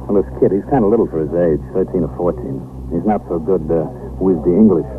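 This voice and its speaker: A man's voice: sits low, a little fast, natural voice. A man playing a modest, plain-spoken American patrolman reporting deferentially to his superior in a 1950s radio drama. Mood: matter-of-fact. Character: modest young beat patrolman recounting his tip to a superior. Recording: muffled, hissy 1950s radio recording.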